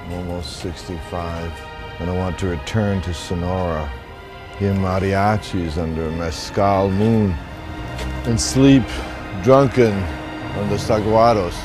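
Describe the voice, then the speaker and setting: Man in his 60s reciting poetry outside, dramatic, goes from quiet mumbling to yelling, music behind him. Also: deep voice, fairly even intonation, measured pace. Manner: theatrical, declamatory, swinging from hushed musing to shouting. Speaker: street poet. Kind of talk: poetic street monologue. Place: outdoors on city streets.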